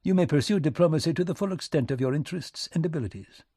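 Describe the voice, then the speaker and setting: A man's voice: on the high side, fast talker, mellow, husky, no room sound, studio quality, pitched above the performer's own voice. A man voicing a courteous aristocratic diplomat in an audiobook, speaking formally and stiffly. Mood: smooth, conciliatory.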